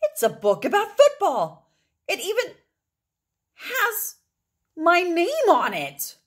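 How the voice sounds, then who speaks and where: A woman's voice: extremely high pitch, huge pitch swings, slow pace, voice pushed up, full character voice. A woman voicing an eager young boy in a children's storybook, amazed and drawing out his words. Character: eager young boy dreaming of football fame.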